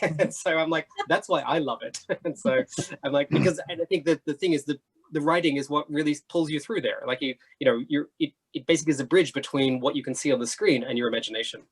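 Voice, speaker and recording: A man's voice: on the high side, quick pace, breathy edge. A man speaking informally as a panelist, discursive and often checking for agreement. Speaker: panelist, writer and editor. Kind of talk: casual panel chat.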